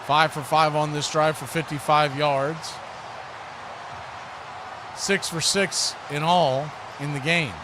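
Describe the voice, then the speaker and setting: A man's voice: on the high side, fairly even intonation, slow, pauses often. A man doing live play-by-play radio commentary of an American football game, describing the action in short phrases. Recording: clearly noisy live stream recording.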